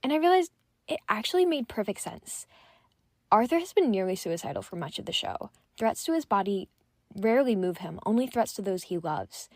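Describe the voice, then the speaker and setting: Woman doing a fan voice message, medium pitch, really dry sound, just her talking. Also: dry recording. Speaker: listener sending a voice message. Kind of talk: personal fan commentary.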